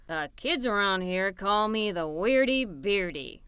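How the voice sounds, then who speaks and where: Friendly bearded nature lover, storybook character, played by a woman: lowered voice, kindly, dry sound. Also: expressive, a little slow, a bit bright, smooth, no echo. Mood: gentle, friendly.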